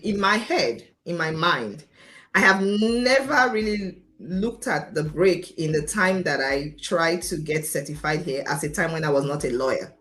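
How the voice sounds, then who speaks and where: A confident Nigerian woman on an online interview show, telling her story emphatically in a fairly low voice over music. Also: a bit bright. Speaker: interview guest, lawyer. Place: remote video call.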